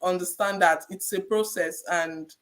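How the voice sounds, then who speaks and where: Encouraging Nigerian woman, measured advice. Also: on the low side, fairly even intonation, a bit bright. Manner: measured, encouraging, repeats key points. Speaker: registered nurse guest. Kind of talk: podcast interview advice.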